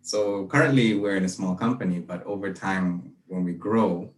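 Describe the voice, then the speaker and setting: A man's voice: sits low, fairly even intonation, normal speed. A young man from Malaysia and Brunei, a podcast guest talking earnestly and reflectively about his work. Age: young adult. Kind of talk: casual interview. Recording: roomy audio from a distance.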